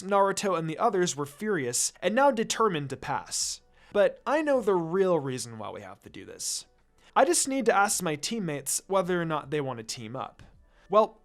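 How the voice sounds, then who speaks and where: A man reading fan fiction aloud as expressive scripted story narration. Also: high-pitched.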